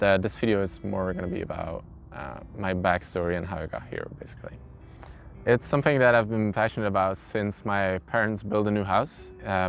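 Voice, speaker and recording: A man, an English speaker, telling his own story informally in an interview. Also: low voice. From Belgium. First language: not English. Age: early twenties. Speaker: interviewee, carpenter. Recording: clear background noise, ambient sound.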